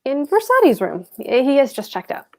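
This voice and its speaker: A woman's voice: high-pitched, sing-song, moderate pace. Woman as a blunt stubborn husband in a comedy play read, clipped lines, voice jumps around a lot. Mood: defensive, blunt. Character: brusque, stubborn husband and landlord, matter-of-fact. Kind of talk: comic play table read.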